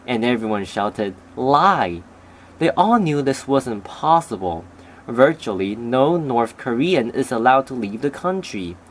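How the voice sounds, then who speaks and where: A young man recites a prepared speech at a steady, measured pace, with the careful delivery of a student reading aloud. His voice sits in an ordinary middle pitch. A faint hiss of noise runs underneath.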